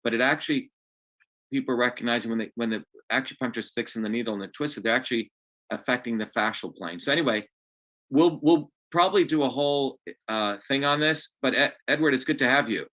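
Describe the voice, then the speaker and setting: A man's voice: mid-range voice, fairly quick, pushed and bright, highs cut off. A man hosting an online open house meeting in a casual, upbeat way, often restarting his sentences. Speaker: host.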